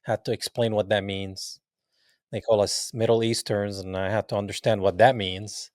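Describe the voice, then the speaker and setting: A man's voice: on the low side, moderate pace, no room sound, clean studio sound. A man in his forties from Palestine, telling stories as an interview guest and acting out the dialogue with frequent laughs. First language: Arabic. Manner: animated, acts out quoted dialogue, laughs often. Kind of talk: casual interview storytelling.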